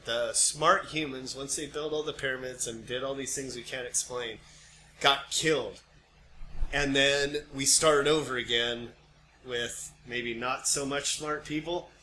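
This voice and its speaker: A man's voice: mid-range voice, pushed and bright. An American man co-hosting a podcast in casual, slangy, sweary conversation. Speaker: podcast co-host. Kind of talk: casual chat. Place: home studio. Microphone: podcast microphone. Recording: clearly noisy amateur podcast recording.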